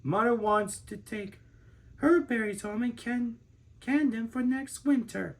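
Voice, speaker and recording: A man's voice: extremely high pitch, a little slow, pitched above the performer's own voice. A man voicing a mother in a storybook, instructing her young child. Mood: gently firm.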